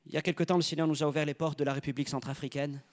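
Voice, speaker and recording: Halting male sermon interpreter, higher-pitched. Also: flat delivery. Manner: halting, rephrasing as he goes.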